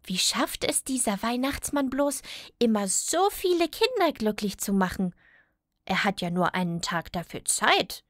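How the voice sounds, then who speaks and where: A woman's voice: on the high side, big pitch swings, measured pace, dry recording, studio quality. A woman voicing a cheerful, curious little cloud in a children's audio play, childlike and eager. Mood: wondering.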